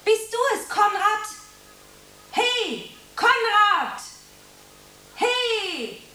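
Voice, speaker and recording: A woman's voice: very high-pitched, big pitch swings, drawn-out words, bright, forceful tone, a little hiss or hum, full character voice. A woman playing a dreamy, self-dramatizing young aspiring actress in a radio play, theatrical and whimsical in her delivery. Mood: melodramatic. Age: young adult.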